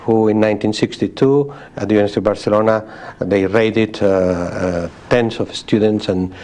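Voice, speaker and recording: Spanish-accented man, measured and thoughtful. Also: lowish voice, fairly even intonation, darkish tone. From Spain. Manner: thoughtful, with frequent pauses and restarts. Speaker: guest, sociologist. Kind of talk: interview conversation.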